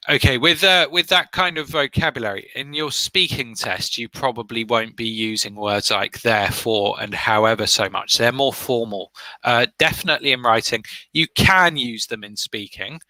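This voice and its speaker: Forceful, bright-toned man coaching a student through speaking practice, asking test questions and then explaining fixes patiently. His voice sounds dry and close, with no room around it, as if on an online video call. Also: mid-range voice, dry recording, low-quality recording. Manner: encouraging, explains step by step. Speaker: former IELTS examiner running speaking practice. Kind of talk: examiner questions and feedback.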